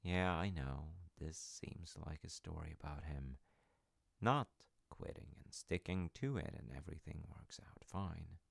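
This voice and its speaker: A man playing a warm, rambling father in an audio roleplay, telling stories haltingly with wry asides to his child. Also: rumbling low, expressive, gravelly, dry recording, studio quality, full character voice. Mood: wry, amused. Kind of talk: audio roleplay, intimate storytelling.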